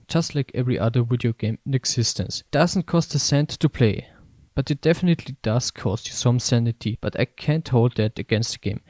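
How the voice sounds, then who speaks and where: A man's voice: medium pitch, darkish tone, no room sound. A man reading scripted narration as a video essayist reviewing games.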